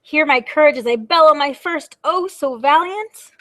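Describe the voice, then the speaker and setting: A woman voicing a little storybook dragon, boastful and easily startled, with grandiose drawn-out declarations. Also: sits high, a bit bright, no room sound, studio quality, pitched above the performer's own voice. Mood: proudly boastful. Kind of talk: storybook read-aloud.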